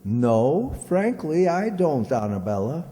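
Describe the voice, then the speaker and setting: Actor as gallant skeptical gentleman, unhurried, mellow tone, polite and wry, old-time radio play acting, reverberant recording. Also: fairly high pitch, sing-song. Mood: skeptical, amused. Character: gallant, skeptical gentleman drawn into a spy intrigue.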